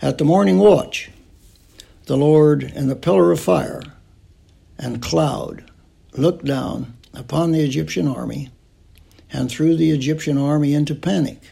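Slow, gravelly man reading scripture. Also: on the high side, warm tone. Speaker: scripture reader.

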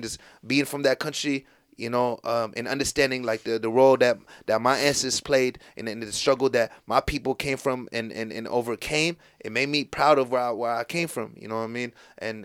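A man's voice: medium pitch, fairly even intonation, a little fast, few pauses, clean tone, no room sound, clean studio sound. Laid-back American man telling stories. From United States. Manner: laid-back storytelling with lots of fillers and restarts. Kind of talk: casual podcast conversation.

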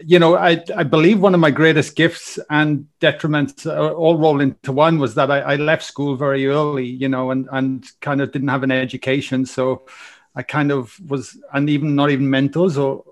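A man in his forties with a Welsh accent, a podcast guest telling his life story in a lively, rambling way with many restarts. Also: on the high side, normal speed. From Wales. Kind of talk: casual storytelling interview.

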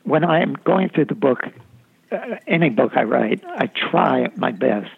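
A man, a novelist, talking reflectively about his writing in an interview, halting often with fillers and restarts. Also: mid-range voice, lively intonation, gravelly, slightly rough. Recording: tinny phone-line sound.

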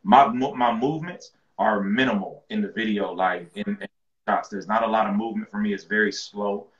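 Man from with a low, deep voice, relaxed slangy guest; muffled lo-fi sound in an echoey room. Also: brisk. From rural North Carolina. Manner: laid-back, slangy, repeats words as he restarts. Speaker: guest rapper. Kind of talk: casual interview chat.

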